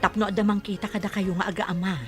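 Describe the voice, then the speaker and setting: Woman acting as concerned village auntie, middle-pitched. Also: little pitch movement, fast talker, over music, close to an everyday voice. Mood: urging. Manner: earnest, urging. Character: concerned, persuasive village auntie giving advice. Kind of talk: radio drama acting.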